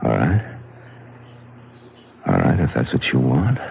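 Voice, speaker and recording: An actor playing a nervous, defensive and scornful nephew in a 1970s radio drama, agitated and sardonic. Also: male voice, a bass voice, very animated, slow pace, gravelly, slight background noise, heavily acted. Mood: tense, defensive. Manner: agitated, sardonic, hesitant when cornered. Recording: dull old radio broadcast, loud music.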